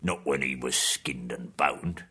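A man voicing a huge, coarse male troll with a slow, gruff manner in an audiobook. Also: very deep voice, sharp tone, voice pushed down, exaggerated voice. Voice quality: gruff, slow, rough dialect. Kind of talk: audiobook character voice.

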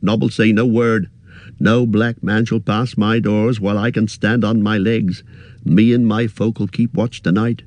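A man's voice: fairly low pitch, flat delivery, a little slow, a little mellow, no room sound, pitched above the performer's own voice, natural voice. A man reading aloud, voicing a fussy, anxious middle-aged male innkeeper who chatters in a rustic way. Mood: earnest.

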